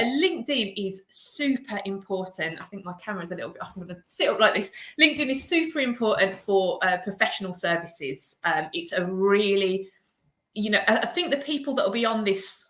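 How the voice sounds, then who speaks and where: A woman's voice: medium pitch, a bit bright, roomy sound. A woman with a Birmingham accent hosting a live video interview, chatty, laughing easily and using many fillers. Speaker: host, agency director. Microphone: video call.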